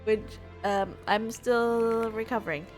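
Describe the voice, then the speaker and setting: A woman's voice: fairly high pitch, steady tone, takes it slowly, soft background music. A woman livestreamer chatting casually in a hesitant, rambling way, often repeating and rephrasing herself. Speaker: livestreamer and gamer.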